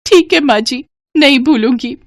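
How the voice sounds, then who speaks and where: Woman acting a plaintive young daughter-in-law, high-voiced. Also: normal speed, a little hiss or hum. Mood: meek. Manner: plaintive, emotive, raised pitch. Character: long-suffering, gentle young daughter-in-law.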